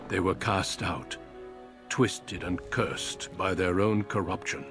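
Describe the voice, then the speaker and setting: Fantasy game narrator: a weary, grave warrior of an ancient order, low-pitched, slow, solemn and portentous. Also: male voice, fairly even intonation, over music. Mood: solemn, ominous.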